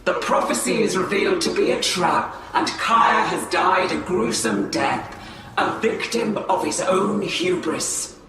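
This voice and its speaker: A sly, cryptic seer taunts in a slow, mocking way in a game cutscene. The voice is high and bright and echoes heavily from a distance. Faint noise runs underneath. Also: male voice. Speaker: game character.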